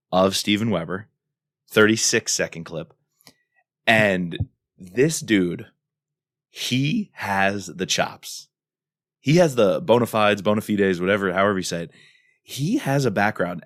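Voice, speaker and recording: A man's voice: fairly low pitch, no echo, studio quality. A man co-hosting a podcast in a casual, enthusiastic and emphatic way. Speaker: podcast co-host.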